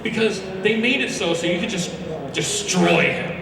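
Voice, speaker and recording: A loud young man giving a rambling, animated, informal talk about video games to a live audience, acting out characters as he goes. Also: sits high, moderate pace, background noise. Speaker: speaker presenting a ranked list. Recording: very echoey hall, distant mic, loud music behind.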